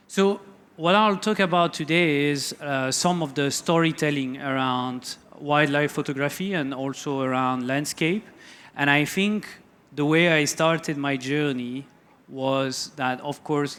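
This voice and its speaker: A man with a French accent giving a relaxed, loosely worded talk on wildlife photography to an audience, full of fillers and restarts. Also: on the high side. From France. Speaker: photography lecturer. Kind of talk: conference talk.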